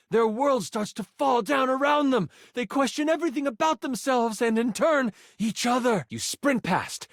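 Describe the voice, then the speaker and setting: Game narrator: theatrical fantasy game master, very expressive range, dramatic suspense, dry studio sound. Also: male voice, high voice, dry recording. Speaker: voice actor.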